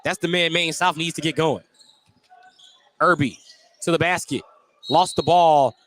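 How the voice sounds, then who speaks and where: Higher-voiced man calling basketball, noisy background. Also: measured pace, fairly bright tone. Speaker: play-by-play sports commentator. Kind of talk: live basketball radio commentary. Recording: clear background noise, live gym broadcast.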